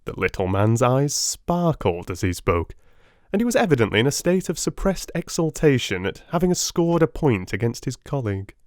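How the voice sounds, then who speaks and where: A man narrates a classic detective story with theatrical care. His medium-pitched voice is very expressive and varied, and the sound is dry and close. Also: normal speed, no echo. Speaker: audiobook narrator.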